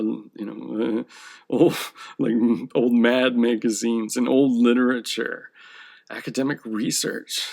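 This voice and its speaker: Man, comic artist, gravelly voice, mid-range pitch, rambling casual talk. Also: darkish tone. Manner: meandering, often checks in with "okay?".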